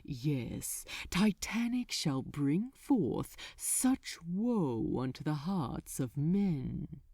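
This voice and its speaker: A woman voicing a commanding male demon lord in an audiobook, solemn and grandiose, with a hissing, softly alluring delivery. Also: deep voice, very animated, very slow, dry recording, clean studio sound, pitched below the performer's own voice, exaggerated voice. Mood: ominous.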